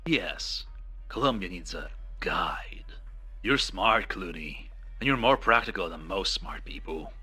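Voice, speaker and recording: An amateur actor in a fan dub playing a grave, scheming military colonel with a rough voice and a weighty, ominous, formal delivery. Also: male voice, deep voice, expressive, a little slow, sharp tone, soft background music, full character voice. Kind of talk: amateur fan dub game drama acting.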